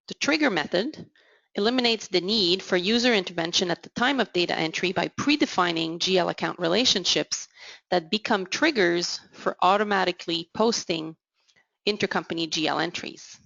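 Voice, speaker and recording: Woman, low gravelly voice, measured software demo narration, dry dull recording. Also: dry recording. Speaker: software product presenter. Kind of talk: scripted product demo narration.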